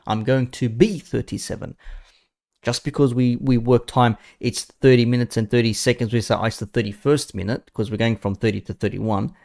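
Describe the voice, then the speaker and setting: A man's voice: mid-range voice, steady tone, normal speed, gravelly, darkish tone. A man, chatting casually and bluntly about football to his viewers. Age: 30s. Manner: blunt, sweary, mocking. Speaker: football streamer. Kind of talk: casual live chat.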